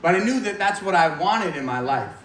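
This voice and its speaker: Echoey, higher-voiced American man giving testimony. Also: animated, fairly quick, fairly bright tone. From Maine, United States. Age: 40s. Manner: informal, self-deprecating, joking. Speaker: guest speaker giving testimony at church. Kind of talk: personal testimony preached to a live audience. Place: large echoey indoor room, speaking to a gathering. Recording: echoey room, distant mic, faint noise.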